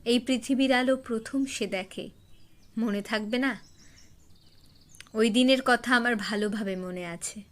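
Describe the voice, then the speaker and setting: A woman's voice: a little high, a little slow, long pauses, fairly bright tone. A woman playing a devoted, tender mother who speaks with conviction in an audio story. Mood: tender, insistent. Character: devoted mother, tender and sure of her memory. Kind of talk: audio horror story acting.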